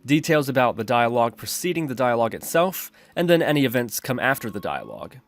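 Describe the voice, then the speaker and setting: A man's voice: medium pitch, very animated, fast. A man narrating an instructional screencast as a tutorial host, explaining methodically step by step. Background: background music.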